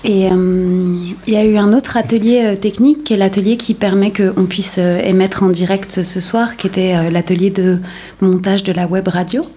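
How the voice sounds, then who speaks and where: Mellow woman in casual round-table talk. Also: mid-range voice, steady tone, normal speed. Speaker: discussion participant asking questions.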